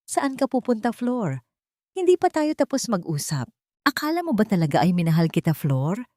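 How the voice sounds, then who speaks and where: A woman's voice: medium pitch, big pitch swings, moderate pace, dark tone, no room sound, studio quality, pitched above the performer's own voice, natural voice. A woman with a Filipino accent voicing a cold, cruel, contemptuous husband in an audiobook drama. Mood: cold menace. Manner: menacing, scornful.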